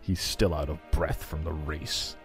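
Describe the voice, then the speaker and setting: A man's voice: rumbling low, steady tone, a little slow. A man reading scripted narration aloud as narrator of a fan fiction story, with casual asides. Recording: loud background music. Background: music.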